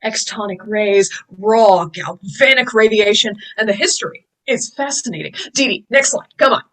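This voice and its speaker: A woman's voice: mid-range voice, normal speed, fairly bright tone, natural voice. A woman playing an enthusiastic, pompous male professor who lectures emphatically in a sci-fi table read. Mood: enthusiastic, lecturing.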